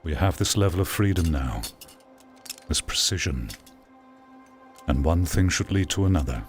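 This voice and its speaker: Male game critic, low voice, dramatic pauses, reflective video-essay delivery over music. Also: animated. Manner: measured, theatrical, rhetorical delivery with pauses. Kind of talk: reflective scripted-sounding video essay commentary.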